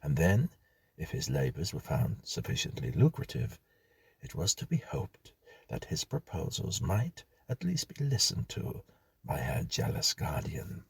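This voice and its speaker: Male audiobook narrator, very raspy, creaky voice, formal literary delivery, measured dramatic gothic storytelling. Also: very deep voice, wildly swooping pitch, no room sound. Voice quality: gravelly, husky.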